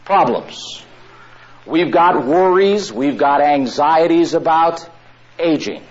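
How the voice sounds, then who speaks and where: A man preaching a sermon to a room of listeners, drawing out his words with long pauses. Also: on the high side. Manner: slow, drawn-out phrasing with long pauses. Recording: dull lo-fi recording.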